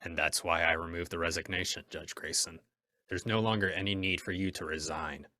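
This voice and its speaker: Man acting a deep, forceful masked vigilante. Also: steady tone, a little fast, no echo. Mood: resolute. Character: masked male vigilante, stern and righteous. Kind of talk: old-time radio drama acting.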